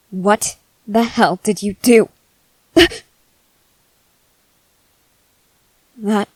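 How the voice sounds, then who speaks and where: Slow, guarded young soldier woman, acted by a woman. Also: medium pitch, dry recording, poor audio. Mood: shocked, wary. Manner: slow, deliberate, terse, intense delivery with pauses. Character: stern young female soldier, suspicious, guarded, threatening. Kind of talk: ASMR character roleplay, dramatic acting.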